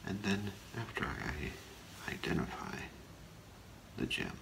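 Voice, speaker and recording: Middle-aged man, deep creaky voice, whispered ASMR role play, halting, softly reassuring. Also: big pitch swings, noisy background. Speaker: ASMR performer role-playing a gemologist. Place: small indoor room with curtain.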